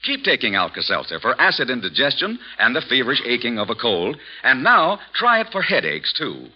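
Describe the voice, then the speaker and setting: American guy doing an old radio ad, super swoopy husky voice, salesy, dull 1940s sound. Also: medium pitch, huge pitch swings, fairly quick. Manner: emphatic, salesmanlike. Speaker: radio announcer. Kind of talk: 1940s radio advertising pitch. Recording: dull vintage radio recording.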